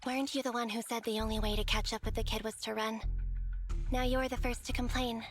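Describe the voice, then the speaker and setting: An actress voicing a calm, stoic young woman fighter in a video game, with a flat, deadpan delivery. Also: fairly high pitch, brisk, close to an everyday voice. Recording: game music behind.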